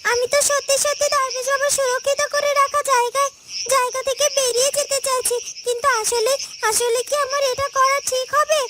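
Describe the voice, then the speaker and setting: A woman's voice: extremely high pitch, flat delivery, fairly quick. A woman voicing a timid, worried young girl in a fairytale cartoon, hesitating and repeating her words. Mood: hesitant, resolute. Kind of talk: cartoon dubbing. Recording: clear background noise, insect ambience.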